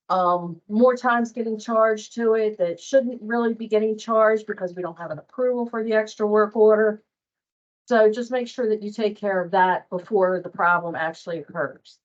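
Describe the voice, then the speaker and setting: A woman giving an informal workplace training walkthrough, speaking in a plain, halting, conversational way over muffled online meeting audio. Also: mid-range voice. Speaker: staff trainer on invoicing. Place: online meeting with screen share.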